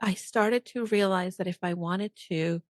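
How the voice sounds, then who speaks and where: A woman's voice: mid-range voice, measured pace, no room sound, studio quality. A woman from El Salvador who later moved to Miami, telling personal stories conversationally as a podcast guest and often checking for agreement. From El Salvador until age ten, then Miami. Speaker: podcast guest, business coach.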